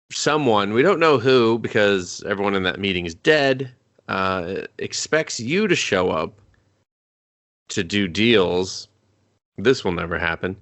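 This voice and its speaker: Bright-voiced man recapping a movie. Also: lowish voice, smooth. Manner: joking, acts out scenes in quick put-on voices. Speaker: podcast co-host. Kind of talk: casual movie review banter.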